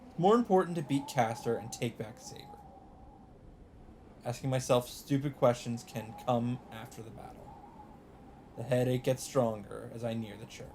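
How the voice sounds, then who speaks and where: A man reading a visual novel script aloud as dramatic narration, with deliberate, drawn-out phrasing. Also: medium pitch, darkish tone, a little hiss or hum. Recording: music under the voice. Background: music.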